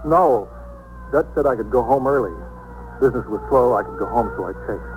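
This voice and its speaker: An actor playing a plain-spoken, defensive working-class bartender in a 1950s radio police drama. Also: male voice, mid-range voice, a little fast, close to an everyday voice. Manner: plain-spoken, clipped, put-upon. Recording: very noisy old radio recording.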